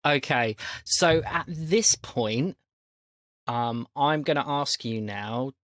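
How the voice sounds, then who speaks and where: A man's voice: mid-range voice, slow pace, a bit bright, no room sound. Easygoing male game master, close dry sound. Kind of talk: casual tabletop game hosting.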